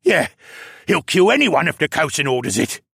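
A man voicing a cowardly, whiny male captive in an audiobook, high-strung and prone to shrieking. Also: wildly swooping pitch, moderate pace, gravelly, sharp tone, slightly rough, no echo, clean studio sound, heavily acted. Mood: fearful, shaky. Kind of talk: audiobook character acting.

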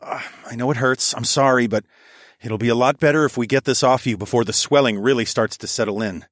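A man's voice: mid-range voice, fairly quick, dry recording, clean studio sound, close to an everyday voice. A man voicing a devoted, tender young hero in audiobook dialogue, earnest and soothing with a playful streak. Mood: concerned.

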